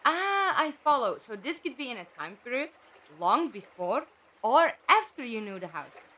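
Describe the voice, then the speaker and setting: An actress in a fan audio drama playing a wry, sceptical, questioning companion to a time traveller. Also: female voice, on the high side, sing-song, normal speed, pushed and bright, exaggerated voice, rough recording. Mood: catching up.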